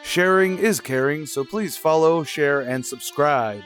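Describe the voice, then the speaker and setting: A man's voice: a little high, measured pace, a bit bright. Scripted male radio announcer over music.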